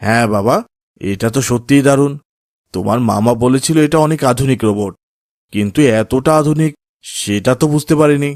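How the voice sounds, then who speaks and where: Father male actor, children's cartoon: mid-range, calm, kindly, gentle; dry studio-style sound. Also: mid-range voice, moderate pace, no room sound, close to an everyday voice. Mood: calm, pleased.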